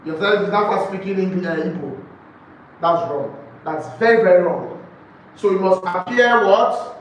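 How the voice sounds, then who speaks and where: High-pitched Nigerian man lecturing, echoey room. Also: moderate pace. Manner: emphatic, repeats key phrases, throws out rhetorical questions. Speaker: real estate sales trainer. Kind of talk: live training lecture to a group. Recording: echoey room, distant mic, faint noise.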